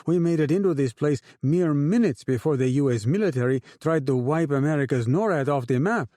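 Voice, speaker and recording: A man's voice: fairly high pitch, lively intonation, brisk, mellow, no echo, studio quality, pitched above the performer's own voice, close to an everyday voice. A man putting on an oily young drug lord with a Spanish accent. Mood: rousing. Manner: oratorical, falsely friendly. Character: young oily male drug lord, smooth-talking rabble-rouser.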